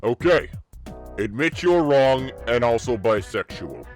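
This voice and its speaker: A man's voice: medium pitch, sing-song, a little slow. A man playing a suave, amused devil who teases and condescends in a drawl for a story reading. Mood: smug, bargaining. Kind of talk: character acting in a fanfiction reading. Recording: music bed under the voice.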